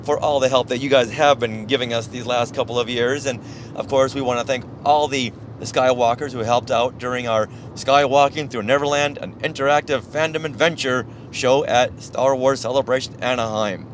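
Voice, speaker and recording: A man's voice: mid-range voice, steady tone, moderate pace, a little hiss or hum. A man with an American accent co-hosting a podcast in casual, chatty style. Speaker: podcast co-host. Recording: music behind the voice. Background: music.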